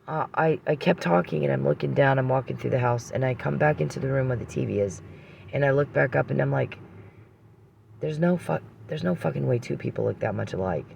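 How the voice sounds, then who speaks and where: Male vlogger, heavy vocal fry, emphatic and repetitive off-the-cuff delivery with halting restarts, slight background noise. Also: medium pitch, a little mellow. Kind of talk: unscripted personal monologue.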